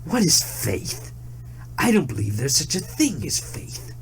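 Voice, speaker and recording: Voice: mid-range voice, sing-song, a little slow, a little hiss or hum, voice pushed up. A performer as a sly, swooping young male tiger. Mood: scornful. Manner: wheedling, scornful, insistent.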